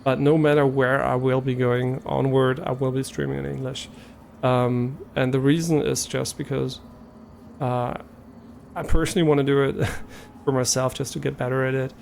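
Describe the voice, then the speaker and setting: A man's voice: medium pitch, flat delivery, normal speed, creaky, a little mellow, a little hiss or hum. A man from Germany, a native German speaker with a German accent, chatting casually and a bit hesitantly to viewers as a live streamer, thinking aloud as he goes.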